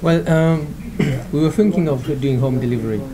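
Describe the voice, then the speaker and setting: A man in his thirties from Mauritius, answering interview questions in a small restaurant, hesitant with many pauses and throat clears. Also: a little high, crowd noise, low-quality recording. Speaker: restaurant owner. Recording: background music.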